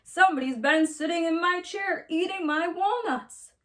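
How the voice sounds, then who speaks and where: A woman's voice: very high voice, moderate pace, pitched above the performer's own voice. A woman voicing a great big, indignant, growling papa bear from a storybook. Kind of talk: animated storybook read-aloud.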